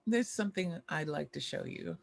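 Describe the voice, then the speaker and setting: A woman acting a jaded, hard-drinking former child star in a screenplay table read, with a husky voice and a dry, world-weary delivery. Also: low voice, wildly swooping pitch, moderate pace, heavily acted. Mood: wry, inviting.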